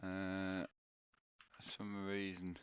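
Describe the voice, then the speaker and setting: A man's voice: deep voice, completely flat delivery, extremely slow, muffled recording. A man with a cold, sounding tired, chatting casually to viewers on a live game stream and greeting them by name. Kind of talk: live-stream gameplay chat. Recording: loud background noise.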